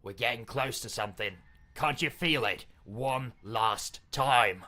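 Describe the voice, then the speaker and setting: A man voicing stubborn, theatrical inner voices from a dark fantasy game in an intense, deliberate manner. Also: mid-range voice, voice pushed up. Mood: desperate, determined. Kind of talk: dramatic game character acting.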